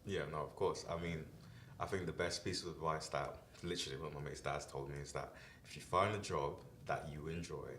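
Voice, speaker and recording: A man hosting a podcast interview in a relaxed, casual way, often saying "like" and "yeah". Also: extremely low pitch, fairly quick, few pauses, reverberant, a little hiss or hum. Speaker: podcast host.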